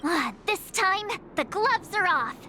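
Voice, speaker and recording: Game little girl: gleeful adventurer, very high, swooping voice over music. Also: very animated, slow pace, bright, forceful tone, a little hiss or hum, heavily acted. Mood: gleeful, excited. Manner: exclamatory, gleeful. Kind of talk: scripted video game dialogue.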